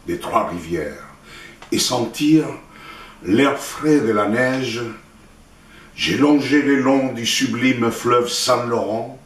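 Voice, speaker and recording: Slow, echoey Chilean man reciting poetry. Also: fairly low pitch. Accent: Chilean Spanish. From Santiago, Chile. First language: Spanish. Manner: deliberate, declamatory, with pauses between short phrases. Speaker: poet reading own work. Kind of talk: solemn poetry recitation in French. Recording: roomy echo, distant microphone.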